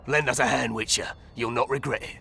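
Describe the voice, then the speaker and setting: Bright-voiced, coarse thug. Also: male voice, medium pitch, fairly even intonation, a little fast, creaky, a little hiss or hum. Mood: grudging. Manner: crude, blunt, colloquial. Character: coarse, foul-mouthed male hired thug, cocky and defiant. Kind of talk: video game voice acting.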